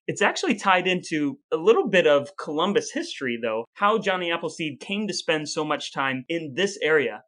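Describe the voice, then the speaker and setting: A man's voice: fairly high pitch, big pitch swings, moderate pace, fairly bright tone. A man co-hosting a casual podcast with his brother, explaining a history topic at length and quizzing him along the way. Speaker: podcast co-host. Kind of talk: casual chat.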